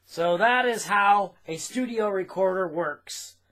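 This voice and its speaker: Man, American accent, high bright voice, informal technical demonstration, deliberate halting delivery. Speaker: phonograph recording demonstrator.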